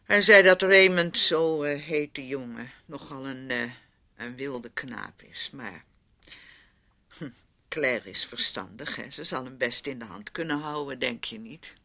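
A man's voice: mid-range voice, a little slow, sharp tone. A male actor in a radio play playing a polite parent, hesitant, soothing and mildly evasive. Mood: evasive, reassuring. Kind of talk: Dutch radio play acting.

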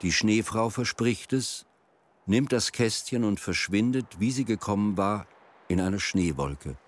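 A man reading scripted narration for a radio drama in a measured storyteller's manner. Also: lowish voice, animated, noisy background. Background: plucked string music.

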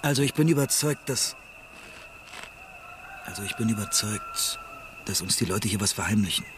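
A man's voice: lowish voice, lively intonation, normal speed, noisy background, lightly acted. A man playing a keen, informal investigator in a naturalistic radio drama, talking eagerly with hesitant restarts. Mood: keen, suspicious. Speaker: actor in a radio play.

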